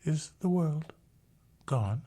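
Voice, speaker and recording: A man voicing a dazed young woman who murmurs softly in a storybook reading. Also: on the high side, expressive, very slow, voice pushed up, heavily acted. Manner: murmuring, hushed, half-whispered.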